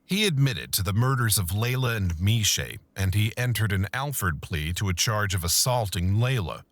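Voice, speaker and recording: A man narrating a true-crime documentary in a measured, scripted storytelling manner. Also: on the low side, animated, no room sound.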